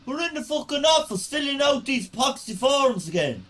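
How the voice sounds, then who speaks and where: A man's voice: very high voice, very animated, normal speed, fairly bright tone, full character voice. A man playing a disgruntled, hungover Irish factory worker in a radio play, grumbling and swearing emphatically. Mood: fed up, irritated. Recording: roomy, distant from the mic.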